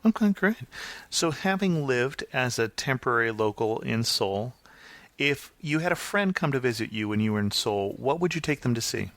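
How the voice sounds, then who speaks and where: A man hosting a podcast, presenting and interviewing in a clear scripted-radio style. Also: medium pitch, lively intonation, moderate pace, fairly bright tone, dry recording. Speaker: podcast host.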